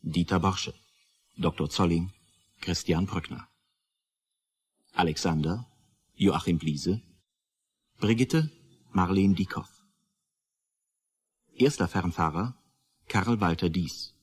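Deep, slow, husky male radio announcer. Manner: formal, sober, list-like delivery. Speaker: announcer reading the credits.

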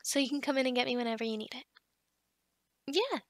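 A woman's voice: sits high, animated, quick pace, no echo, clean studio sound. A woman acting a friendly, scatterbrained office boss in a conversational ASMR roleplay, chatty, bubbly and encouraging. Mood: helpful, chatty. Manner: bubbly, chatty, with giggles and hesitations.

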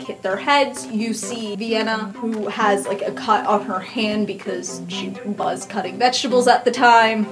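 High-voiced woman as game master. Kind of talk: casual improvised tabletop game narration. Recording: music behind the voice.

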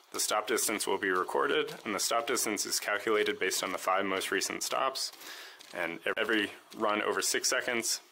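A man calmly walking through how his homemade device works, step by step, in a voice-over demo. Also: lowish voice, gravelly, slight background noise. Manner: calm, methodical step-by-step explaining. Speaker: maker demonstrating his device.

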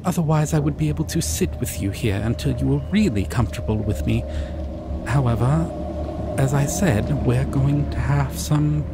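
A man voicing a shy, caring male dragon in an ASMR roleplay, talking softly and reassuringly. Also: mid-range voice, heavy background noise, natural voice. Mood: gentle. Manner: soft, reassuring, slow and hesitant. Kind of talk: ASMR roleplay audio drama.

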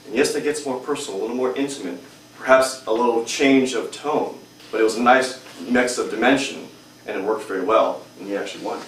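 A man's voice: medium pitch, a little fast, a little hiss or hum. A young adult man giving a humorous prepared speech at a public speaking club, with a strong, emphatic delivery in a hotel meeting room. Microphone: far camera. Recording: echoey room from far off.

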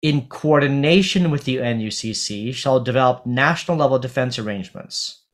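A middle-aged man speaking on a video call as a panel speaker, talking through a legal text in a halting way with many fillers and restarts. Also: medium pitch. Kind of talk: academic panel talk. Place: home office on video call. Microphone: webcam on video call.

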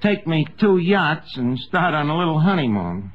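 A man's voice: fairly high pitch, sing-song, normal speed. A man playing a scheming, slangy tavern manager with a New York accent in a 1950s radio comedy. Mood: boastful. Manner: malapropisms, streetwise slangy talk. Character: scheming, bragging lovestruck tavern manager, uneducated tough guy. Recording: noisy, dull 1950s radio recording.

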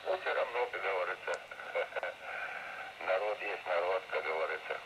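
A man's voice: deep voice, unhurried. An older man chatting casually as a ham radio operator, rambling and repeating himself, his voice processed with reverb over shortwave radio. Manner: rambling, repeats words and phrases. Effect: heard over shortwave radio with added reverb and compression. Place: radio transmission playing from a transceiver in a room. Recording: noisy shortwave radio reception picked up from speaker.

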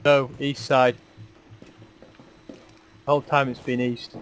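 A man's voice: mid-range voice, flat delivery, slow pace, very noisy. A man chatting with teammates in voice chat during a shooter match, joking at his own mistakes. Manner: self-mocking, chatty callouts. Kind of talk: team voice chat while gaming.

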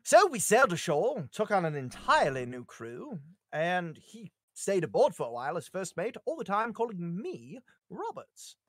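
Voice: mid-range voice, big pitch swings, moderate pace, a bit bright, no echo. A performer reading a hero's lines in a casual comedy table read on a group video call, playful and given to ad-libs. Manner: playful, breaking into laughter and ad-libs.

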